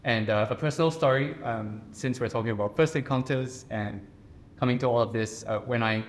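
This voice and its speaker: Singaporean man, mid-pitched, measured and earnest, panel storytelling. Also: slight background noise. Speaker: poet on a panel. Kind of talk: personal reflective talk. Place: reception room at a residence.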